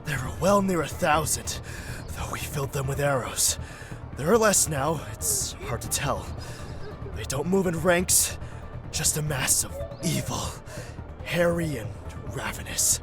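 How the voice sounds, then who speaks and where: Guy doing an out of breath ninja scout, highish urgent voice, fantasy story w music. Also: expressive, measured pace.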